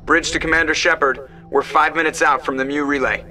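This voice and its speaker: A man's voice: on the high side, steady tone, fairly quick, sharp tone. An actor voicing a cocky, wisecracking male starship pilot in a video game, wry and sardonic. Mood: businesslike. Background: game music.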